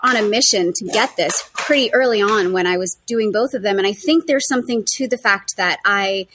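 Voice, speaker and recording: A woman in her thirties with a youthful, high-pitched voice, a lawyer guest on a podcast who talks in a lively, teasing way. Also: brisk, noisy background. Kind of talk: casual podcast interview.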